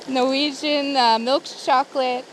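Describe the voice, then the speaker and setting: A woman's voice: high voice, fairly bright tone, slight background noise, poor audio. An American woman chatting casually to the camera outdoors by a campfire, laughing often as she talks. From USA. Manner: chatty and giggly, talking to camera while cooking. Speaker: vlogger. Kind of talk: casual outdoor vlog chat.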